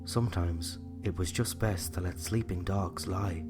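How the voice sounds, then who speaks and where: Very deep-voiced Irish male storyteller. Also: loud music behind the voice. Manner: measured, reflective. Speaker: writer and narrator. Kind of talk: scripted true-crime storytelling.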